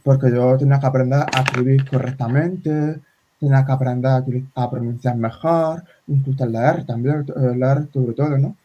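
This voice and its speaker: A man in his forties whose first language is sign language, talking in a casual interview with many halting restarts. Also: medium pitch, steady tone, warm tone, a little hiss or hum. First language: Spanish Sign Language. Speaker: guest. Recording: slightly dull lo-fi sound.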